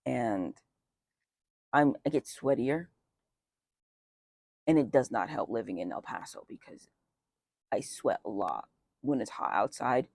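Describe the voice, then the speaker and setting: A young man chatting casually outdoors in a light breeze as a vlogger, with lots of fillers and wry asides. His voice is just starting to deepen early on testosterone. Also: lively intonation, unhurried, gravelly, long pauses, darkish tone, dry recording. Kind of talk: casual life update chat.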